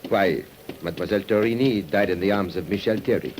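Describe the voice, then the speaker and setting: A man's voice: fairly low pitch, fast, close to an everyday voice. A man playing a troubled, helpless character with an earnest, dramatic delivery in a mid-century radio mystery. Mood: exasperated. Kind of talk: 1950s radio drama acting. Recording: hissy old radio recording.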